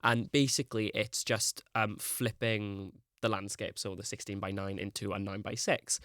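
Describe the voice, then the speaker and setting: A man's voice: fairly low pitch, flat delivery, normal speed, fairly bright tone, dry recording, studio quality. A young man, chatting as a podcast guest, rambling and full of "like". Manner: rambling, peppered with "like". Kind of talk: casual chat.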